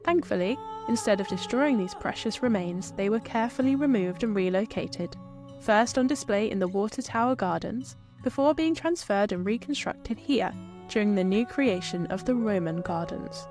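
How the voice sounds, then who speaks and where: A British woman narrates a scripted history tour. Her pitch is middling for a woman, with faint guitar music in the background. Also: animated, normal speed. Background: light guitar music.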